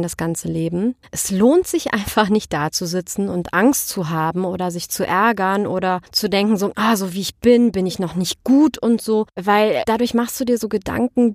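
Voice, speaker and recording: A woman speaking as an interview guest in a candid, colloquial and expressive way, telling personal stories casually. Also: on the low side, no echo, clean studio sound.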